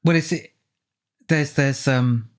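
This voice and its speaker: A man chatting casually in a discursive, thoughtful way, as in a relaxed film commentary. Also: mid-range voice, takes it slowly. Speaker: author and film commentary speaker.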